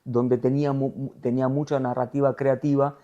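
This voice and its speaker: A middle-aged man from Argentina with an Argentine Spanish accent, a TV news anchor being interviewed, talking in a lively storytelling way. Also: medium pitch, a little fast, muffled recording. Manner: animated storyteller, voseo, acts out quotes. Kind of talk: conversational interview.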